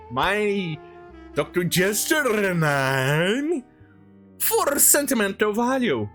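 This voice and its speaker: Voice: mid-range voice, fairly bright tone. A commentator rambling through a sarcastic, mocking rant, often hesitating while improvising. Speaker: commentary host.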